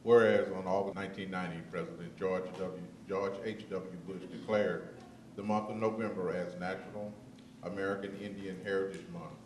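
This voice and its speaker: A man, a city councilmember, reading a formal proclamation aloud in a steady way. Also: low voice, fairly quick, a little hiss or hum. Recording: echoey chamber, mic from far off.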